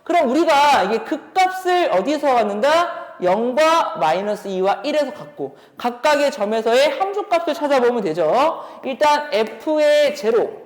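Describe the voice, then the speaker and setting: A woman's voice: on the high side, darkish tone. A woman teaching as a math instructor, explaining exam solutions step by step and often posing questions as she goes. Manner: instructive, poses questions while working through steps. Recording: echoey room with long reverb.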